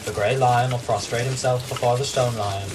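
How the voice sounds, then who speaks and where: A man's voice: mid-range voice, fairly even intonation, normal speed, background noise, natural voice. Guy doing a dutiful knight guard, firm and formal, medium voice, a bit far from the mic, fantasy drama. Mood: commanding. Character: stern, dutiful young knight gatekeeper. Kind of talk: fantasy radio drama acting.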